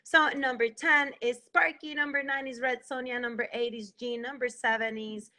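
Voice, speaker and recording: A woman in her thirties hosts a livestream show with a high, sharp, bright voice. She talks casually into a phone camera at home. Her thanks pour out in warm, gushing runs. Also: fairly even intonation, moderate pace. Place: indoors at home, plain wall.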